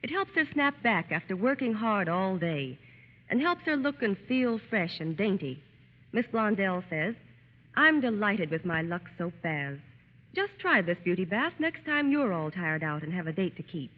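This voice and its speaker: Animated woman pitching on old radio. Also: moderate pace. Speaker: commercial announcer. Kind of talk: 1940s radio advertising pitch. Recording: tinny, reverberant vintage radio recording.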